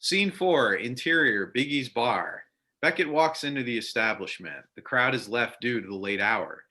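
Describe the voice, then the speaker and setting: A man's voice: mid-range voice, animated, moderate pace, sharp tone. A man reading scripted narration and stage directions for a readers theater play.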